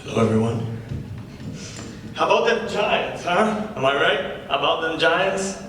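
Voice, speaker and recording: A man's voice: a little high, sing-song, unhurried, a bit bright. A young man, a student actor in a staged script reading, playing a cocky, flippant stand-up comedian with a casual, conversational delivery. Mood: cocky stand-up patter. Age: young adult. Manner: casual, conversational with rhetorical tag questions. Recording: echoey hall from far off, faint noise.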